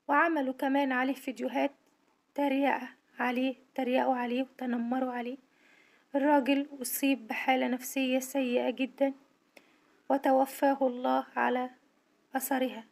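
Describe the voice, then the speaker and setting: A woman's voice: high-pitched, darkish tone. A woman with an Egyptian accent, a social worker, speaking to camera from home with a tired, strained voice in an earnest, indignant appeal. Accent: Egyptian Arabic. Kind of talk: monologue to camera.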